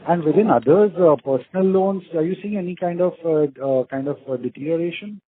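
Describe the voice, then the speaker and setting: A man asking questions as an analyst on an earnings call, pausing often mid-question. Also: on the high side, animated, a little hiss or hum. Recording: narrow muffled phone line.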